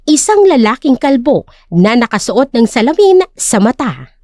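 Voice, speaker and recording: A woman's voice: fairly high pitch, sing-song, normal speed, darkish tone, dry recording, clean studio sound. A woman with a Filipino accent reading a scripted dramatic story as narrator.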